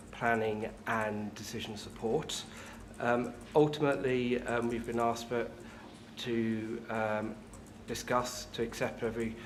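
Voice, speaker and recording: A man at a board meeting giving background and introducing a colleague in a measured, explanatory way. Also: fairly low pitch. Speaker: board member introducing a speaker. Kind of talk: formal meeting talk. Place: meeting room. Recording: noisy meeting room.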